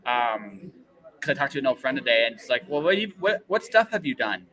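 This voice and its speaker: A man's voice: mid-range voice, sing-song, a little fast, a crowd in the background, rough recording. A man hosting a casual, enthusiastic interview, rambling and repeating phrases for emphasis. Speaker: podcast host interviewing a guest.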